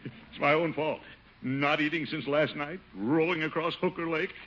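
An actor playing a pompous, sentimental middle-aged uncle with blustering, theatrical delivery in a 1950s radio sitcom. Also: male voice, on the high side, fairly even intonation, moderate pace, a bit bright, lightly acted. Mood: exasperated. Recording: dull, noisy old radio recording.

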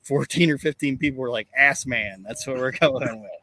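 A man hosting a casual comedy podcast, chatting and reading things out in a deadpan way. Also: mid-range voice, moderate pace, dry recording. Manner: deadpan, monotone when reading. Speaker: podcast host. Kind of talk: casual comedic podcast chat with mock recitation.

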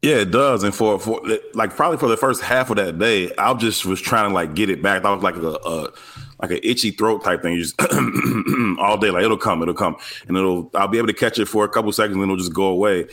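A man who hosts radio and live events, a guest on a podcast, telling a story in a relaxed, chatty way with little laughs. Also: fairly low pitch, brisk. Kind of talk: casual podcast chat.

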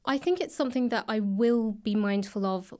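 A woman's voice: on the high side, moderate pace, a little mellow. A woman chatting as an interview guest, explaining things hesitantly and rephrasing as she goes. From south London, England. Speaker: podcast guest, writer and actor. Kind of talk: casual conversational interview.

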